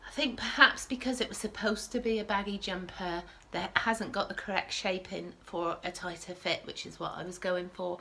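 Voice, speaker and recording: A woman's voice: on the low side, pushed and bright. Chatty sniffly woman podcaster. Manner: chatty, rambling, self-correcting with frequent ums. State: sniffly with a starting cold. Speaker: podcast host. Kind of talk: casual knitting podcast chat. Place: at home.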